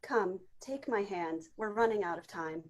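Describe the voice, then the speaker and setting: A woman playing a calm, firm spirit guide in an amateur table read of a Christmas ghost story. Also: medium pitch, measured pace, crowd noise, close to an everyday voice.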